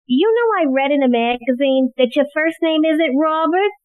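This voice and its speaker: Woman playing a high-voiced inquisitive police assistant. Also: lively intonation, moderate pace, dry recording, phone-quality sound. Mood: curious. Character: earnest, inquisitive, frank female police assistant. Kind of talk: audio play acting.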